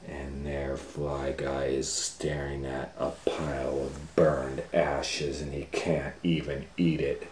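A man with a very deep voice reads a picture book to children. He goes extremely slowly, stretching key words for emphasis and adding small asides. The room gives his voice a clear echo. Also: flat delivery, darkish tone, slight background noise. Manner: drawn-out emphatic phrasing with asides. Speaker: storytime host and reader. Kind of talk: animated children's storybook read-aloud. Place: indoor room, reading a book on a table. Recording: roomy, echoey indoor sound.

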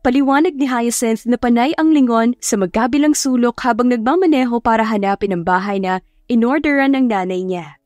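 A woman's voice: a little high, a little fast, darkish tone, no echo, clean studio sound. A woman narrator reading a scripted story as an audiobook. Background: background music.

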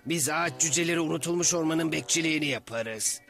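A man's voice: fairly high pitch, fast talker, gravelly, a bit bright, soft background music. A man voicing a chatty, cocky little tree gnome in a dubbed cartoon, cheeky and folksy.

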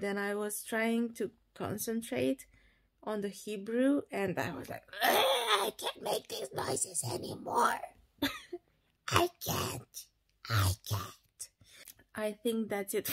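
A woman in her thirties with a Romanian accent, vlogging casually at home into a phone held at arm's length, talking in a slow, relaxed and sleepy way. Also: medium pitch, gravelly. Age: 30s. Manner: slow, relaxed and sleepy, with frequent laughs and restarts. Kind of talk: casual selfie vlog. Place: bedroom and bathroom at home, indoors.

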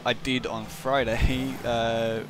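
A man, hoarse and losing his voice, chatting casually and tiredly while streaming a game. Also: flat delivery, slow, music in the background. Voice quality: croaky. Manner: low-energy, tired. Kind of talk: casual gaming chat.

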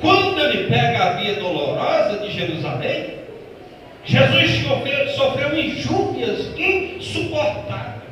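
A man with a Brazilian Portuguese accent preaching a Pentecostal sermon, swinging from quiet, fast asides to loud, drawn-out proclamations and calling his listeners "brother". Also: very high voice, sing-song, slight background noise. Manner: addresses listeners as "irmão" and asks them questions. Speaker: pastor. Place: church sanctuary. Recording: echoey church hall from a distance.

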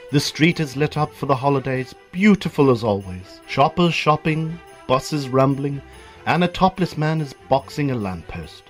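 A man tells a story as narrator of a comic radio play. His medium-pitched voice is theatrical, with deadpan asides, and music plays faintly behind him.

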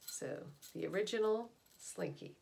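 A middle-aged woman with an American accent reading aloud to children like a storyteller, pausing to address the listener with explanations and questions. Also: medium pitch, lively intonation, creaky, a little mellow, a little hiss or hum. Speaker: read-aloud presenter. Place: indoor room at home.

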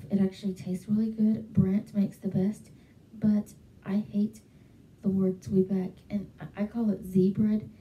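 Young woman rambling happily about stuff, warm mellow voice, roomy bedroom echo, bit far off. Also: mid-range voice. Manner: chatty, enthusiastic, many fillers. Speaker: vlogger. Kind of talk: casual talk to camera. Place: small bedroom at home. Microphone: handheld mic held close, webcam.

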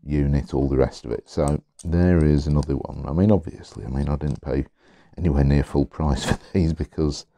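A middle-aged man, slightly hoarse, chatting casually as he unboxes pens at his desk, rambling with many pauses and restarts. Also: extremely low pitch, fairly even intonation, dark tone, no echo. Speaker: pen collector vlogger. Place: indoors at a desk, overhead camera on hands.

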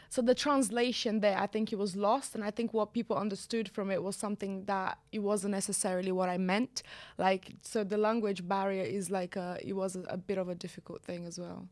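A woman's voice: mid-range voice, dry recording. A young woman from Brazil with a Brazilian Portuguese accent, speaking candidly as a podcast guest and using many fillers. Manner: frequent "like" fillers, candid and reflective. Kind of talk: casual podcast interview. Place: small studio with sofa and purple lighting. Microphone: studio boom mic close up.

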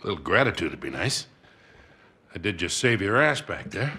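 A middle-aged American fixer in a cinematic video game. His voice is low and swings expressively up and down. He is gruff and folksy, with a wry, unhurried way of needling. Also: very animated, bright, forceful tone, exaggerated voice. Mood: wry, probing. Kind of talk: naturalistic video game acting.

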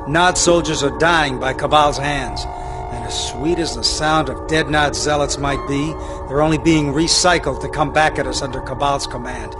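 An actor playing a stern middle-aged army general, giving clipped, authoritative orders in a game cutscene briefing. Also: male voice, on the high side, moderate pace, close to an everyday voice. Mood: grim, commanding. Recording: music behind the voice.

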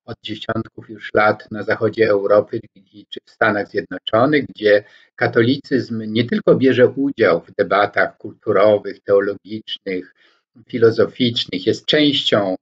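A man in his sixties from Poland, giving a reflective lecture to camera from his home study, pausing often with hesitation sounds as he picks his words. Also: on the low side, slightly rough. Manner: slow and deliberate, frequent hesitation fillers, gestures with both hands. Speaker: scholar commentator. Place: home study with bookshelves, indoors. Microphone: webcam or laptop microphone, head-and-shoulders framing. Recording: dull lo-fi webcam sound.

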